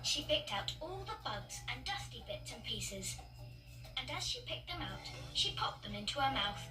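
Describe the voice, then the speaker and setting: A woman narrating children's stories as a read-along, expressive, emphatic and playful. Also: sits high, normal speed. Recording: distant-sounding, loud music behind. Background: music.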